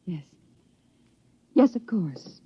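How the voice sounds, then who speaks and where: An actress playing a passionate, strong-willed scientist in a 1950s radio drama, theatrical and earnest with clipped delivery. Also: female voice, fairly low pitch, very animated, very slow, breathy edge, no room sound, heavily acted. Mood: strained, yielding. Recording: muffled vintage radio recording.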